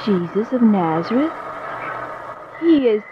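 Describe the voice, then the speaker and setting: An actress playing a devout, grieving widow, solemn and fervent, in 1940s radio drama style. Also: female voice, fairly high pitch, big pitch swings, slow. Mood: mournful, fervent. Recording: noisy, muffled 1940s radio recording.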